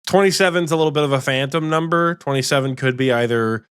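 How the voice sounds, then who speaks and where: A man's voice: on the high side, fast talker, fairly bright tone, clean tone, no room sound, studio quality. A man in his late twenties co-hosting a podcast, chatting casually, rambling and swearing now and then. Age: 20s. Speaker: podcast co-host. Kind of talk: casual chat.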